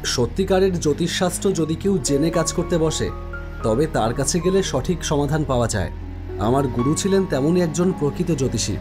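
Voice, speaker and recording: A man's voice: fairly high pitch, animated, brisk. A man giving dramatic first-person narration of a horror story. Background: music.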